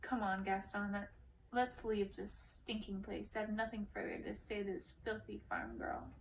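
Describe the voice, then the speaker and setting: A woman's voice: medium pitch, normal speed, creaky, recorded from a distance, a little hiss or hum, natural voice, rough recording. A woman voicing an arrogant, scornful young prince from a storybook. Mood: contemptuous. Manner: haughty, demanding, scornful.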